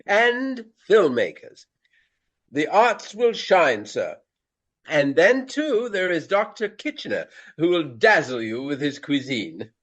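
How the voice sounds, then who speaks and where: An actor playing a vain, jovial Regency stage actor with courtly, effusive, old-fashioned speech in a radio play. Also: male voice, a little high, very animated, slow pace, fairly bright tone, heavily acted. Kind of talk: radio drama acting.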